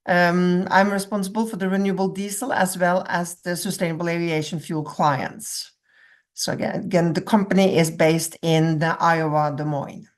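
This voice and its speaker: A woman with a Norwegian accent, a sustainability consultant, introducing herself on a webinar call in a slightly halting way. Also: lowish voice, steady tone. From Norway. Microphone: video call.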